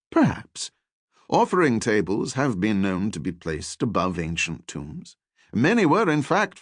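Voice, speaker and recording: A man's voice: medium pitch, no echo, clean studio sound, full character voice. A man voicing an eager elderly archaeology professor, courteous and scholarly, who lectures with animation in an audiobook. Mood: intrigued.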